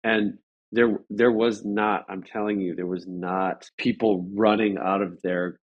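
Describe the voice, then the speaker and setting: A man with a fairly low voice talks as a guest in a casual interview. He recounts things conversationally, circling back and repeating phrases as he feels his way through each thought. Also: steady tone, narrow, tinny audio. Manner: halting, rambling, with repeated phrases.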